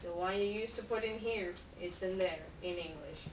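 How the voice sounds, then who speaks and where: A woman teaching English to a class, speaking slowly and patiently and repeating phrases for learners. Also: lowish voice. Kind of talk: classroom instruction. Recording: echoey room, far mic, noisy.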